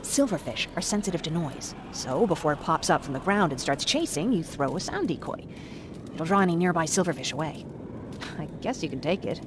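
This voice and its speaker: A low-voiced wry scavenger. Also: female voice, expressive, noisy background. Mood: wry, wary. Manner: brisk, wry, streetwise. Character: streetwise young female scavenger, wry and wary. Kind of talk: game voice acting, companion dialogue.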